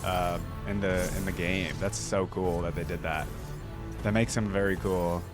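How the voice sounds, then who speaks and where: A man's voice: low-pitched, steady tone, normal speed. A man hosting a reaction video, talking informally and thinking aloud as he comments and reads bits off the screen. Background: music from the video being watched.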